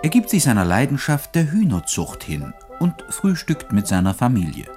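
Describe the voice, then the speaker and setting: A man giving measured, formal scripted narration for a radio play. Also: medium pitch, huge pitch swings, over music.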